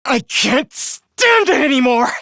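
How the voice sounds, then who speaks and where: A man's voice: on the high side, very animated, moderate pace, dry recording, studio quality. A man playing a young student in a video game, earnest on the surface and a cold, misanthropic killer beneath, theatrical and articulate. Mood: hateful, hysterical. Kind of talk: dramatic anime-style video game voice acting.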